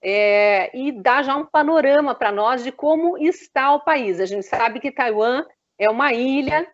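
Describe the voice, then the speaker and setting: A woman's voice: sits high, expressive, unhurried. A woman with a Brazilian Portuguese accent, hosting a conversational live journalistic interview over dull online stream audio.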